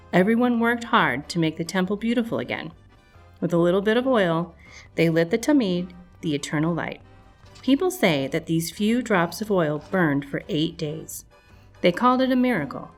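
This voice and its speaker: A woman's voice: fairly low pitch, lively intonation, moderate pace. A woman reading a scripted storybook narration for children. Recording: music playing behind the voice. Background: music.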